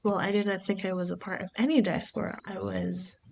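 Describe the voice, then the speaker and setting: A woman from Barbados hosting her own podcast, talking through her thoughts in an unhurried, reflective way. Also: mid-range voice, level tone, creaky, darkish tone, a little hiss or hum. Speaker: podcast host. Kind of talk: reflective solo podcast monologue.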